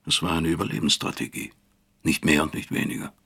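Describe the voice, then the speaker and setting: A weary professor looking back on his own guilt in a radio drama. His voice sits extremely low and is full of gravel. He narrates in a husky, deliberate, reflective way. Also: little pitch movement, pushed and bright, full character voice. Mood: sober reflection. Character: weary, self-accusing professor of medicine recalling his East German past.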